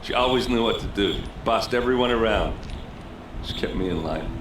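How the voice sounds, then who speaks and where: A man's voice: lowish voice, normal speed, roomy sound, background noise, close to an everyday voice. Man acting as a tipsy, rueful guard captain. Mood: bitter grief. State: slightly drunk. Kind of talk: video game dialogue acting.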